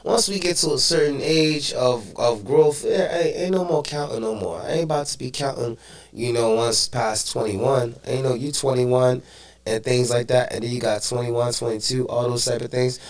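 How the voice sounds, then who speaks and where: Animated man hyping up the talk. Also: medium pitch, normal speed. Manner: animated, hypes others up. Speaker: talk show host. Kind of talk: casual chat.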